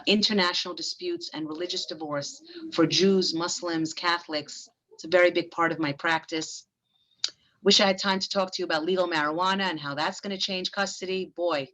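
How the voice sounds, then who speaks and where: A woman family lawyer, giving a frank, brisk presentation to business owners. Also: on the low side, fairly bright tone.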